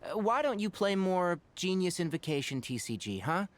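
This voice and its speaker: An actor playing a caring, mildly chiding young forest ranger in an anime video game, speaking articulately. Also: male voice, sits high, big pitch swings, moderate pace. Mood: gently chiding.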